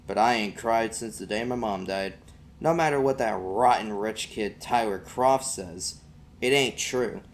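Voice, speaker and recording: A man's voice: fairly low pitch, measured pace, slight background noise. A man reading an audiobook as an amateur, narrating in the first person in a folksy vernacular.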